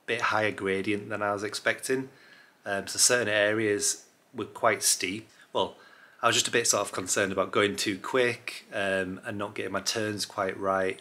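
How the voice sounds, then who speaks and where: A man's voice: lowish voice, fairly bright tone. A man talking casually and informally as a travel vlogger, with an upbeat, chatty manner. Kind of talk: casual vlog chat.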